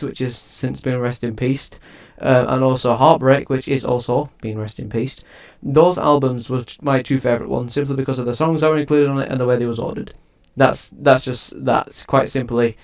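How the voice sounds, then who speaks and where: A man's voice: medium pitch, fairly even intonation, normal speed, hardly any pauses. Rambling casual male music reviewer. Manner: rambling, restarts sentences often.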